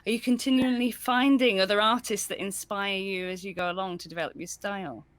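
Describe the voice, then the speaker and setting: A woman's voice: medium pitch, fairly even intonation, fairly quick, no echo. A woman co-hosting an interview, bubbly and effusive with her guest. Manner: bubbly, effusive, laughs easily. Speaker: co-host.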